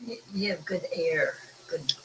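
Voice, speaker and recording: A woman's voice: low voice, unhurried, a little hiss or hum, low-quality recording. A woman chiming in with short remarks from off-mic while her partner hosts. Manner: brief off-mic interjections.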